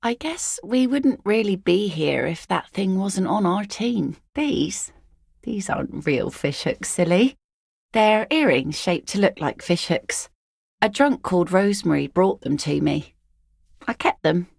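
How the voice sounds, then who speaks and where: A woman voicing an easygoing, philosophical seafaring mother in a video game, with a wry, gently teasing, folksy manner. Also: mid-range voice, lively intonation, slow pace, gravelly, no room sound, clean studio sound, heavily acted. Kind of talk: naturalistic video game dialogue acting.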